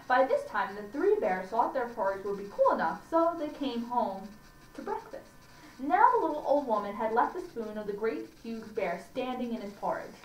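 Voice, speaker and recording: A woman reading a children's story aloud for a library audience, deliberately and with small stumbles. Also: a little high, very animated. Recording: echoey room, distant mic, faint noise.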